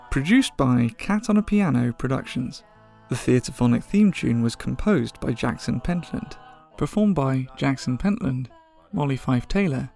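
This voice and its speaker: A man's voice: medium pitch, vocal fry, a little mellow. A man reading scripted credits as a podcast announcer, in a presentational way.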